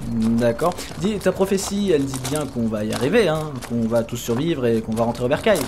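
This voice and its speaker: Man as a quick, exasperated henchman. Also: mid-range voice, lively intonation, background noise, close to an everyday voice. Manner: impatient, colloquial, questioning. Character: exasperated, anxious, sarcastic clone minion. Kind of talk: comic audio drama.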